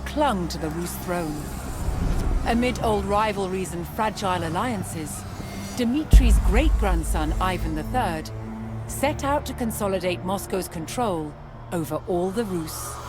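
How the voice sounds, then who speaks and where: A woman reading scripted historical narration in a measured, dramatic way, as for a documentary. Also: on the low side, expressive. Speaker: documentary-style narrator of game cutscenes. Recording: music behind the voice. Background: background music.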